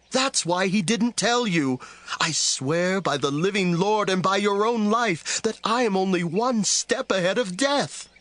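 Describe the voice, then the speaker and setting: A man's voice: high-pitched, fairly bright tone, no echo. A man playing an anxious, earnest young fugitive pleading in a dramatized audiobook.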